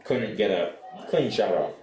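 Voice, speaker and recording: A young adult man doing live soccer play-by-play for radio, describing the action in an animated way. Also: on the low side, unhurried, reverberant. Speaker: play-by-play sportscaster.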